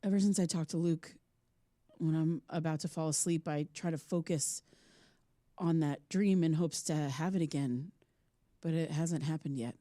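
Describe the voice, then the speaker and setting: A woman's voice: low-pitched, moderate pace, mellow, dry recording, clean studio sound, lightly acted. An actress playing a troubled, haunted woman podcaster, earnest and hesitant, in a naturalistic audio drama. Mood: brooding, determined.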